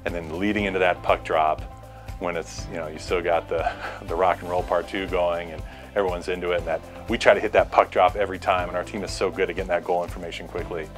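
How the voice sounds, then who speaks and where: A man's voice: low-pitched, steady tone, fast talker, faint music behind. A middle-aged American man, a sports announcer, talking casually and reflectively about his work. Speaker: public address announcer.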